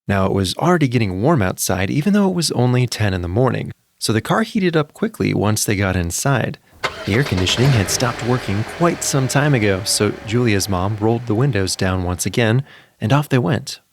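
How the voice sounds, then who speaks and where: A man narrating a scripted story as a podcast storyteller, in a gentle storybook way with deliberate phrasing. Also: on the low side, lively intonation, vocal fry, noisy background. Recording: music behind the voice. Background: music.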